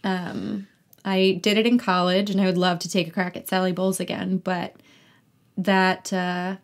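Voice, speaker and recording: Young woman podcast guest, lowish voice, just chatting casually. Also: little pitch movement, normal speed. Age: young adult. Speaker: interview guest, actor and singer. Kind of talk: casual chat.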